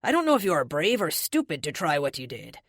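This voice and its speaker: A stern male henchman, voiced by a female narrator, speaking low and measured, a tough man who is not evil. The audiobook recording is dry and close, with an expressive rise and fall in the lines. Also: no room sound, full character voice. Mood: stern warning.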